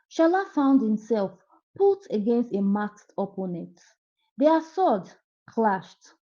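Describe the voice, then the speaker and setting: A woman's voice: medium pitch, warm tone, dull audio. A woman narrating a scripted folktale as a storyteller.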